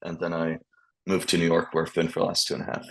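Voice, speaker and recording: Man, thirties, Swiss-raised, American accent, very deep voice, measured, restarts. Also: completely flat delivery, creaky, fairly bright tone. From Switzerland. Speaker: guest, tech founder. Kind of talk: interview answers.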